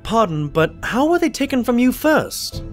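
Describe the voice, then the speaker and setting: A man's voice: extremely high pitch, sing-song, moderate pace, soft background music. A man playing a polite but skeptical pony doctor, a detective's sidekick, in an audio drama. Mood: incredulous.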